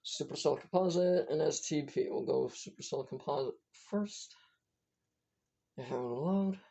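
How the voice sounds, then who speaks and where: A hoarse speaker whose voice is giving out, giving a casual, rambling weather briefing as an amateur forecaster, stumbling and correcting themselves often. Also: medium pitch, normal speed, mellow. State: hoarse, losing voice. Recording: dull lo-fi recording.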